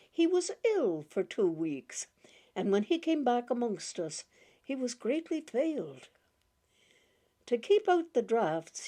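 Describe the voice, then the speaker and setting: A woman reads a short story aloud at an unhurried, leisurely pace. Her telling is gentle and fond, with soft laughs coming through. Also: mid-range voice. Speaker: narrator reading a short story. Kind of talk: storybook reading.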